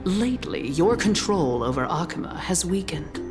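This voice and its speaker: A woman's voice: fairly low pitch, very animated, moderate pace. A woman voicing a cruel, mocking noblewoman conspirator in a video game, with a taunting, theatrical delivery. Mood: menacing. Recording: loud music under the voice.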